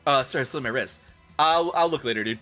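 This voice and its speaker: A man streaming a comedic dramatic reading in a theatrical, expressive manner. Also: mid-range voice, brisk, soft background music.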